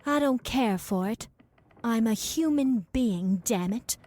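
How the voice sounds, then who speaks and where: A woman playing a weary, confused and blunt character in an audio drama, halting and breathy. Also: a little high, expressive, a little mellow. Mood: weary, defiant. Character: confused, weary woman, blunt wine-drinking mother figure. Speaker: actor.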